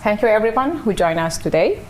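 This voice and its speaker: A woman's voice: medium pitch, very animated, fairly quick, a little mellow, slight background noise, rough recording. Hesitant woman hosting a panel. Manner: hesitant, frequent fillers. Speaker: host and business coach. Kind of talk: moderating a panel. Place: online open day session.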